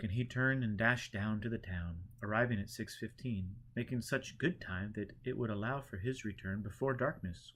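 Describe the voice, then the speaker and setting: A man reading a storybook aloud as scripted narration, in an expressive way that leans into the drama. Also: fairly low pitch. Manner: expressive, acts out characters' dialogue. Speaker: audiobook reader.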